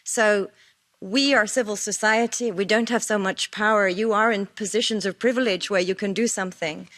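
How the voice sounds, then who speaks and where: A woman's voice: mid-range voice, steady tone, normal speed, a bit bright. A woman speaking as a civil society panelist at a formal hearing, making an earnest, emphatic appeal.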